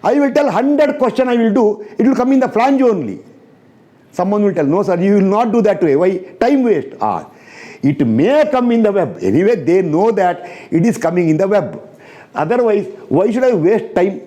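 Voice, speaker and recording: Male Indian engineering teacher, high and soft-toned, brusque and repetitive, Indian English accent. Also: expressive, normal speed. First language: not English. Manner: blunt, repetitive, drills students with rhetorical questions. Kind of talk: recorded classroom lecture.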